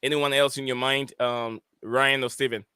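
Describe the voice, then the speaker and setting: A man's voice: medium pitch, little pitch movement, normal speed, sharp tone, smooth, dry recording, clean studio sound. A man hosting a casual football live stream, hesitant and full of fillers as he reads out viewer comments. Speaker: host of a football podcast stream.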